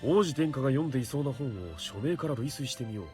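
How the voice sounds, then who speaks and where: A man's voice: medium pitch, very fast, mellow, soft background music, close to an everyday voice. A man voicing a stern, earnest young knight in a Japanese visual novel, speaking formally as he mutters to himself. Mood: puzzled, muttering.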